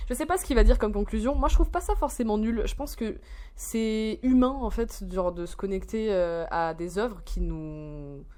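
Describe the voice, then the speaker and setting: A woman's voice: medium pitch, moderate pace, darkish tone. Slangy young woman reacting casually. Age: 20s. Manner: slangy, rambling, swears often. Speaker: streamer reacting to a video.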